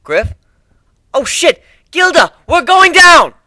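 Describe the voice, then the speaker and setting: A man's voice: very high-pitched, very animated, slow pace, sharp tone, dry recording, studio quality, voice pushed up, full character voice. A man voicing a worried, bossy female unicorn who exclaims and scolds in a dramatic story reading. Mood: alarmed.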